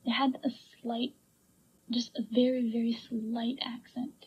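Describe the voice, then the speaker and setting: A woman giving hesitant, halting answers as a witness in a police interview, heard on an archival recording. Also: a little high, little pitch movement, husky, muffled recording.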